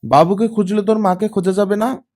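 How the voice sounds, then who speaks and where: A man's voice: high-pitched, fairly even intonation, brisk, darkish tone, voice pushed up. A man voicing a short-tempered, impatient father in his late fifties for an audiobook. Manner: impatient, snapping, demanding. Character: short-tempered heavyset father of fifty-eight. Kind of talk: audiobook character acting.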